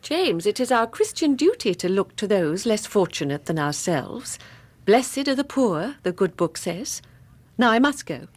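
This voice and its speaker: A pious Victorian lady in a radio play. Her speech is formal and composed, with a lively rise and fall in pitch. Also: unhurried. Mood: pious, firm. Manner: genteel, proper, formal. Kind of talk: radio drama acting.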